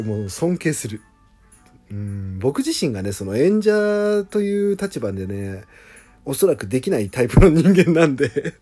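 A man's voice: medium pitch, warm tone. A man talking casually on a solo podcast in an effusive, emphatic way, drawing out his words. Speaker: host, original author of a radio drama.

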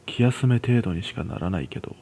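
A man voicing a serious, cautioning young woman who senses spirits, in a hushed horror story. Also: low voice, expressive, fairly quick, vocal fry, exaggerated voice. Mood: concerned. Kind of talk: radio horror story dialogue.

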